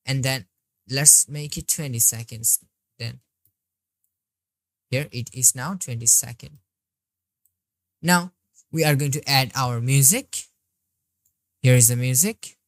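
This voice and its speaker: Very deep-voiced woman, slow tutorial narration. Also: no echo. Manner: step-by-step instructing, narrating each click. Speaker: software tutorial instructor. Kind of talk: screen-recorded tutorial walkthrough.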